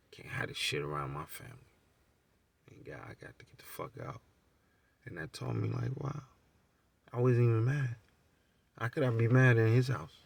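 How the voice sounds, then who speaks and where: A man's voice: mid-range voice, gravelly, a little mellow, recorded from a distance, slight background noise. A middle-aged American man telling personal stories in a casual, profane, candid and rambling way, asking rhetorical questions as he goes. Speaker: storyteller.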